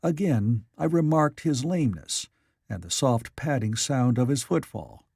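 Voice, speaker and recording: A man's voice: mid-range voice, lively intonation, measured pace, gravelly, a little mellow, husky, dry recording, studio quality. A man reading classic literary fiction aloud as a scripted audiobook narrator.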